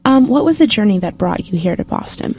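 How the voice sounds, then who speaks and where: Woman radio host, friendly and upbeat, casual loose questions, middle pitch. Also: expressive, brisk, a little mellow, breathy edge.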